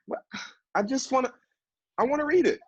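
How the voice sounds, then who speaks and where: An actor playing an earnest, kindly, gently hesitant man working as a literary assistant in a stage play. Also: very high voice, expressive, fairly quick, slightly rough, no echo, highs cut off, heavily acted. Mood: eager, pleading.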